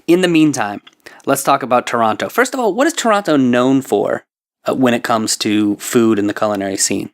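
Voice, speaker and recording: A man's voice: medium pitch, lively intonation, a little fast. A man hosting a food show, guiding a guest with upbeat questions. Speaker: podcast host. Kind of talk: hosted food interview.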